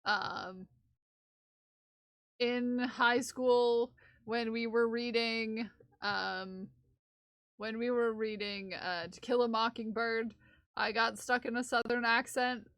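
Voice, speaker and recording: A woman's voice: a little high, steady tone, takes it slowly, pauses often, a bit bright, no room sound. A young woman casually chatting on a live stream while she knits for charity. She rambles, giggles often and slips into playful bits of song. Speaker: livestreamer knitting for charity.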